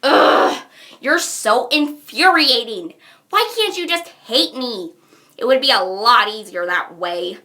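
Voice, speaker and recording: A woman's voice: on the high side, pushed and bright. A woman voicing a brash, self-absorbed young troll villainess with theatrical delivery. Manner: theatrical, exasperated. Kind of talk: fan character voice acting reel.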